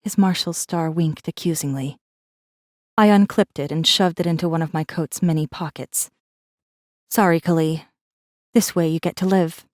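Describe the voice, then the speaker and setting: A woman's voice: low voice, steady tone, moderate pace, darkish tone, no room sound, studio quality, lightly acted. A woman voicing a wry, guarded rebel messenger who narrates a space fantasy audiobook in a controlled, intimate way. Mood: conflicted, tender, then guarded, low. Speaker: audiobook narrator in first person. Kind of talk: scripted dramatic narration.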